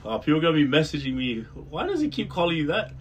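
Lively man bantering. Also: fairly high pitch, fast talker. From Australia. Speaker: podcast guest, eldest sibling. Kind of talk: casual banter.

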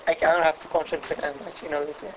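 A man's voice: fairly high pitch, level tone, fast, noisy background. A teenage boy from Spain with a Spanish accent, answering in a shy, hesitant way. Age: teens. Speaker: exchange student guest.

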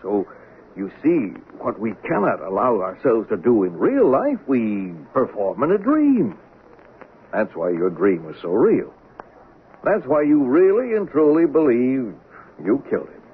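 An actor playing a gentle, humouring policeman with a hesitant, placating manner in an old-time radio drama. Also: mid-range voice, sing-song, measured pace. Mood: earnest persuasion. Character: gentle, humouring police officer, soothing and sly. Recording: narrow, noisy old radio recording.

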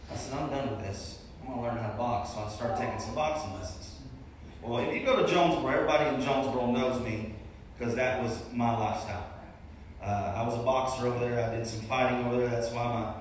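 Man preaching in an echoey church. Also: mid-range voice. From Arkansas. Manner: casual, rambling, self-deprecating with restarts. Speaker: guest preacher. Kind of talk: testimony sermon to a congregation. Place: large church sanctuary. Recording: very echoey hall, distant mic, faint room noise.

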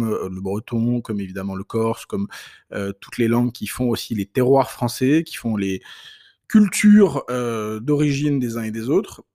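A middle-aged man hosting a podcast, delivering an opinion monologue in a measured, emphatic way with frequent hesitant pauses. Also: mid-range voice, darkish tone. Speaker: podcast host.

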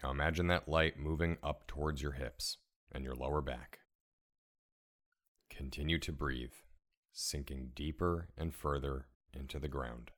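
A man leading a guided relaxation meditation in a calm, soothing, measured way, giving gentle step-by-step instructions. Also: a bass voice. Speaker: meditation guide, podcast host.

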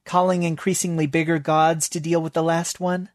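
A man voicing a frantic, scheming young female student who rambles in a high, pleading voice. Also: flat delivery, normal speed, no echo, clean studio sound, lightly acted. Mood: sheepish.

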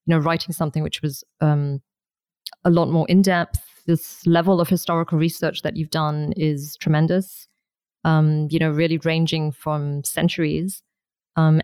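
Low-voiced woman interviewer, dry sound. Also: a little mellow, clear, resonant tone, no echo. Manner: long, carefully built questions with many fillers. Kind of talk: interview questions.

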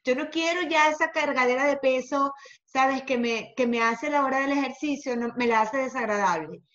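A woman host, enthusiastic and quick to agree. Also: sits high, a bit bright. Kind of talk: casual interview chat. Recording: slightly dull sound.

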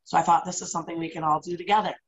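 Woman, 30s, upstate New York, even matter-of-fact guest, low register. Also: rapid-fire. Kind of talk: casual interview chat. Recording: dull lo-fi sound.

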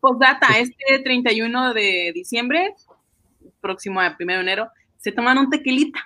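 A woman's voice: a little high, animated, moderate pace, sharp tone. A woman with a Mexican accent in casual live-stream chat, talking in a playful, informal way.

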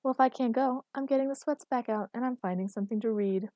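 A female narrator performs an irritable young woman in audiobook dialogue. She whines and grumbles stubbornly, half asleep and easily put out. The voice sits higher than plain narration and sounds dry and close. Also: quick pace, dark tone, no room sound, natural voice. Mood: indignant, stubborn. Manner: whiny, grumbling complaints. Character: irritable sleepy petulant small blonde young woman, stubborn.

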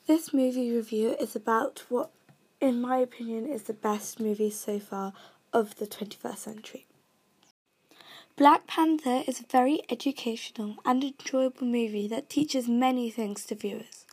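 A woman's voice: a little high, moderate pace, a little mellow, slightly rough. A young woman from Kenya with a British accent, earnestly reading out her own movie and song reviews. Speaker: vlogger reviewing movies and music. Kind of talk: scripted review read aloud.

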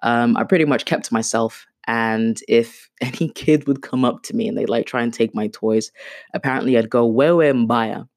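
A woman's voice: extremely low pitch, normal speed, darkish tone, dry recording, clean studio sound. A woman in her thirties, born in Kenya with Swahili as her first language, hosting an unplanned podcast and rambling with lots of fillers. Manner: rambling, chatty, self-deprecating with many fillers. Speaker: podcast host. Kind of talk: unplanned casual monologue.

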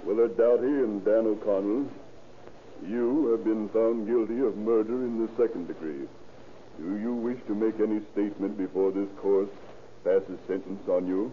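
An actor playing a stern, formal man of the law in 1930s radio drama, speaking with deliberate authority. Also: fairly low pitch, fairly even intonation, phone-quality sound, natural voice. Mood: stern, solemn. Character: stern, authoritative lawman and sentencing judge. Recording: thin, hissy old radio recording.

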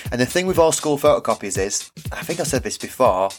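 A man's voice: medium pitch, expressive, quick pace, faint music behind. A man hosting a light-hearted podcast, with a cold that leaves him nasal and sore-throated, chatting and laughing at himself. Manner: chatty, self-deprecating, laughs often. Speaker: podcast host and primary teacher.

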